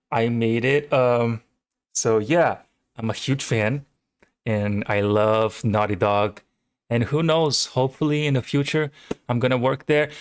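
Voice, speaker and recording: A man's voice: medium pitch, clear, resonant tone, no echo, clean studio sound. A young man from Brazil with a Brazilian Portuguese accent, casually and enthusiastically hosting his own reaction video, rambling with fillers. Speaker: channel host. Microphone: home microphone.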